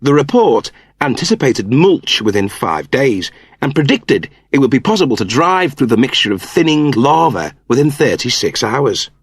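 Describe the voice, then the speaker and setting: Husky man narrating, lively pitch. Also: normal speed, dry recording, studio quality. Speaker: audiobook narrator. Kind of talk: scripted narration of comic science fiction.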